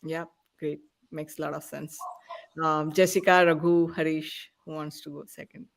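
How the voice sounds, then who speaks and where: A woman hosting a podcast panel in a casual way, often halting as she searches for words. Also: deep voice, level tone, vocal fry. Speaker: podcast host.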